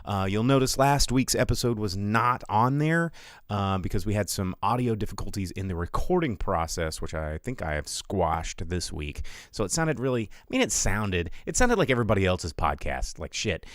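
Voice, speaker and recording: Male American podcast host, very low register, loose rambling delivery, informal live-stream chat, dry sound. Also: sing-song, normal speed, no echo. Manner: rambling, informal, self-interrupting.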